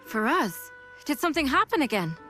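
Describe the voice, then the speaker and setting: A woman's voice: sits high, very animated, a little fast, sharp tone, full character voice. A woman playing a seasoned, easygoing female mercenary in a fantasy video game cutscene. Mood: warm, teasing. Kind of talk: English game cutscene voice acting. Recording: music behind the voice.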